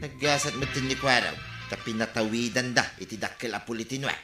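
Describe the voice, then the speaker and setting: A man's voice: medium pitch, fairly quick, pushed and bright, echoey room, faint music behind, close to an everyday voice. A man playing an envious, grumbling village neighbour with an Ilocano accent in a comedy radio drama.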